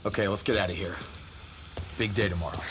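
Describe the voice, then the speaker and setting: Actor as low-voiced, grumbling American garage guy. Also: steady tone, fast, noisy background, natural voice. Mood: relieved. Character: gruff, grumbling small-time garage worker Bobby, uneasy and cynical. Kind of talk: video game cutscene acting. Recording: loud music over dialogue.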